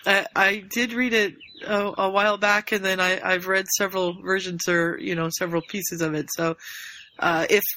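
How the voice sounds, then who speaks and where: A woman in a book club chatting casually about a book she has reread, easygoing and quick to laugh. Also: mid-range voice, level tone, pushed and bright.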